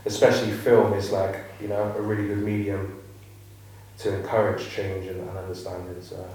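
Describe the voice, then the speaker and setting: A low-voiced filmmaker speaks in a flat, reflective way, wandering through his thoughts with many fillers. The mic is far off in a very echoey room. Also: normal speed. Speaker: panellist, filmmaker. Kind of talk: panel discussion talk.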